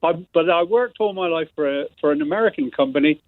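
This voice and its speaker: A middle-aged man with a West Country accent rings a radio phone-in, his voice fairly high for a man and coming through a thin, tinny phone line. He rambles through his story and laughs at himself as he goes. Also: quick pace, no room sound. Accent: West Country English. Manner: self-deprecating, laughing, rambling anecdote. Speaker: caller. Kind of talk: phone-in call.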